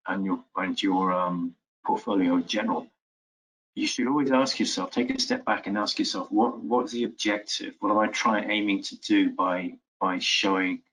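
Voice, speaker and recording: A man's voice: deep voice, fairly bright tone, rough recording. A man, a course tutor, gives casual advice in a rambling, reflective way, with frequent restarts.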